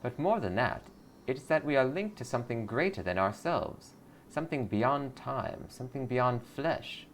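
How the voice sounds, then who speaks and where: A man's voice: medium pitch, very animated, moderate pace, a little hiss or hum, voice pushed up. Man playing a lilting, blissful cult greeter. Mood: serene, eager. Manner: sweetly pious, salesy. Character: blissful, eager cult greeter recruiting newcomers.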